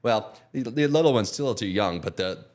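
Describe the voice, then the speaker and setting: Guest spot: very low-pitched man in his 40s, loose rambling talk that trails off. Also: lively intonation, normal speed. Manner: rambling, with many restarts and trailing off quietly. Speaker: guest, custom painter and product seller. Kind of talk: casual podcast conversation.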